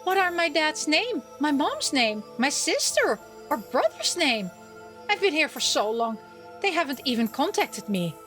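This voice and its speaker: A woman's voice: sits high, sing-song, a little slow, a bit bright, soft background music, voice pushed up, full character voice. A woman voicing an optimistic, careless young female roommate in an emotive way for a visual novel. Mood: distressed. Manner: emotive, scatterbrained.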